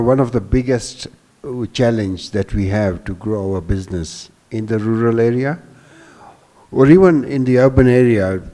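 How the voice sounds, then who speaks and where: A man in his sixties explaining things as a panelist, halting and restarting words, speaking into a handheld microphone on stage. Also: lowish voice, warm tone, in a large hall. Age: 60s. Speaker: panelist, business executive. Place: hall stage before a seated audience. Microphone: handheld microphone held at the chest.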